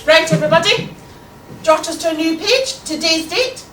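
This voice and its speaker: Woman performing brisk Scottish schoolteacher, bossy, emphatic, animated pitch, live story, distant mic. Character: brisk, exasperated female schoolteacher addressing her class. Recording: echoey room, distant mic, faint noise.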